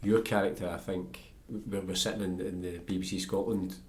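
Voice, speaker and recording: A man with a fairly low voice hosts a relaxed, conversational interview. He speaks thoughtfully and takes a roundabout route to his point. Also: normal speed. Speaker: interviewer, podcast host.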